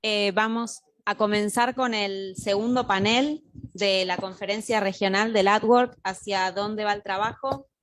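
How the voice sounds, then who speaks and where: Woman moderator, mid-pitched, measured, hesitant, in a lecture room. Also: fairly even intonation, a bit bright. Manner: measured, with frequent filler hesitations. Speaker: panel moderator, university lecturer. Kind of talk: formal conference introduction.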